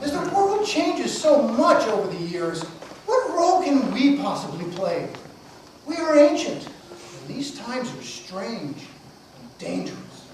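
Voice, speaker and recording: A woman's voice: on the high side, very animated, unhurried, a little mellow. A woman playing a proud, competitive, easily puzzled tiger in an amateur puppet show, speaking in a theatrical manner. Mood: solemn, wondering. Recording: echoey noisy room, far from mic.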